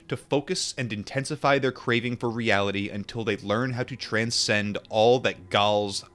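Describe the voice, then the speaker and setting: A man reading a book aloud and adding informal asides, in a measured, deliberate manner. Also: fairly low pitch, fairly bright tone. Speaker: audiobook reader and commentator.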